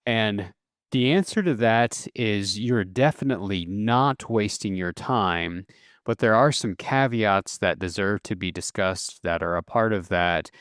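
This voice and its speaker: A man hosting a solo podcast, explaining at a relaxed pace with casual fillers and self-corrections. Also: on the low side, clean tone, no room sound, studio quality. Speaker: podcast host.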